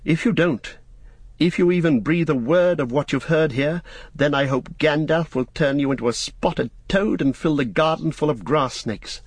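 A man voicing a gentle male hobbit gentleman in a storybook, kindly but firm, earnest and polite. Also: on the high side, moderate pace, husky, no room sound, pitched above the performer's own voice. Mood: sad, firm.

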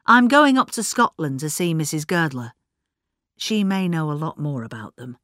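A woman's voice: low voice, expressive, moderate pace, a bit bright, no room sound, studio quality. A woman voicing a worried, earnest male police inspector in a storybook reading. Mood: purposeful.

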